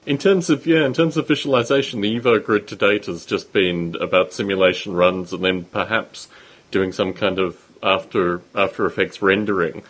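A man hosting a podcast interview, asking long, measured questions. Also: low voice, fairly even intonation, a bit bright, slightly rough. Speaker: podcast host.

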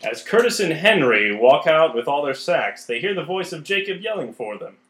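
Male story narrator, lively intonation, scripted read, echoey room sound. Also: on the high side, normal speed. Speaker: narrator of radio play.

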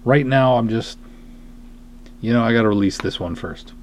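A man's voice: mid-range voice, moderate pace, slight background noise. A man hosting a podcast in a casual monologue, rambling along in a self-deprecating way. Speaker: podcast host, film writer and director.

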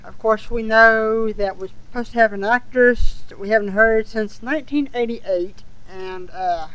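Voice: mid-range voice, measured pace, a bit bright, smooth, rough recording. A podcast co-host chatting casually in a somewhat hesitant, conversational way about a show they follow. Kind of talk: casual fan discussion of an audio drama series.

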